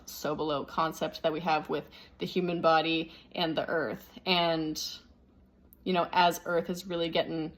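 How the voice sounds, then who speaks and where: A woman speaking as a spiritual teacher, explaining things unscripted and thinking aloud in measured phrases. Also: lowish voice.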